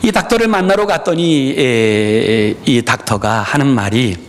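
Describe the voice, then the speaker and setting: Man, pastor, middle-aged, sermon in a church, lively rising and falling pitch, hesitant "uh" fillers. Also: a little slow. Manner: frequent "에" fillers, personal and earnest, addresses congregation. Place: church sanctuary.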